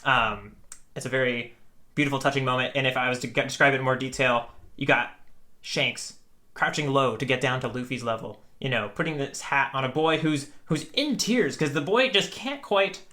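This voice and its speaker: Male podcast co-host, mid-pitched and bright, earnest and deliberate in casual conversation. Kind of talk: casual chat.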